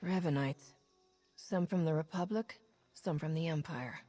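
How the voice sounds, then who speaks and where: A woman's voice: deep voice, unhurried, dark tone, faint music behind. An actress voicing a determined woman hero in a video game, who talks in a direct, firm way.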